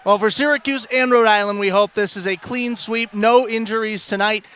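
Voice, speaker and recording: High-pitched man calling live hockey. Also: steady tone, normal speed, smooth. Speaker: play-by-play commentator. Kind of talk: live ice hockey commentary. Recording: loud crowd and arena noise behind. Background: ice rink crowd.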